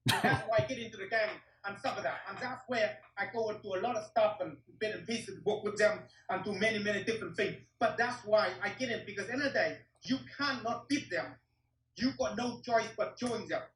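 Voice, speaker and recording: A man's voice: high voice, fairly quick, a bit bright, a little hiss or hum. Blunt, profane man. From Vietnam. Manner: blunt, swears often, broken English. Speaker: martial arts teacher. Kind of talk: interview storytelling. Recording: roomy echo, distant mic.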